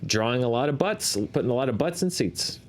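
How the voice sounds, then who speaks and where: A man with a mid-pitched voice hosts an entertainment chart show. He explains things conversationally, in a measured and analytical way, like a commentator walking a co-host through the details. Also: expressive. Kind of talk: conversational box office commentary.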